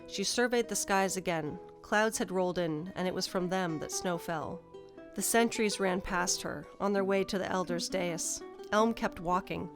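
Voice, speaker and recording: A woman with a fairly low voice narrates a scripted fantasy tale. Soft guitar music plays faintly behind her as she reads. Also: normal speed, hardly any pauses.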